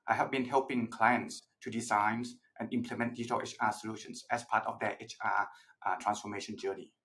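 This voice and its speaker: A man, a consulting partner, giving a formal, measured self-introduction in a webinar. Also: on the low side, little pitch movement, a bit bright.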